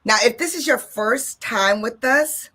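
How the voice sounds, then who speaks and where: An exuberant woman hosting talk radio with a bright, forceful voice. She is from Detroit and talks in a sing-song way, repeating her lines for emphasis. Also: a little high, expressive, unhurried, slightly rough. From Detroit, USA. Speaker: radio show host.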